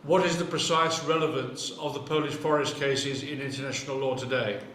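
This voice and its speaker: A man's voice: mid-range voice, steady tone, fairly quick, fairly bright tone. A man at an academic workshop pressing a presenter on his question, bluntly and persistently after a hesitant start. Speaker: workshop participant. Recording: echoey room mic from afar with noise.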